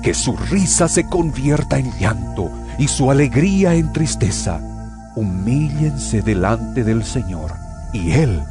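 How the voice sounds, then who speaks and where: A man playing a stern, fervent apostle in an audio Bible drama, reading scripture in a solemn, emphatic and deliberate way. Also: medium pitch. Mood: stern exhortation. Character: stern, fervent male apostle exhorting believers. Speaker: narrator reading a Bible epistle. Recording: studio audio drama with music behind. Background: music.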